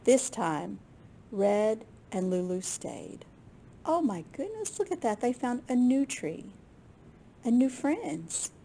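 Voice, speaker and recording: A woman with a slightly husky, gravelly voice reading a picture book aloud to young children in an expressive way. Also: a little high, slow, slight background noise. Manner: expressive, with gasps and questions to young listeners. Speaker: children's librarian storyteller.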